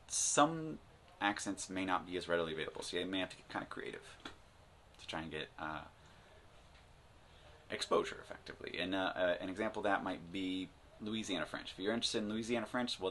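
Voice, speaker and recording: A man's voice: low voice, fairly bright tone, slight background noise. A man hosting a language-learning channel, talking casually to the camera and rambling with many fillers and restarts. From United States.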